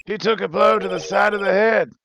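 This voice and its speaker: Man playing a smug, creaky prosecutor. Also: high-pitched, steady tone, a little fast, fairly bright tone, slight background noise. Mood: dry, knowing. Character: smug, cryptic male prosecutor in a courtroom game. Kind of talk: amateur live fan dub of a game. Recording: game music faintly behind voice.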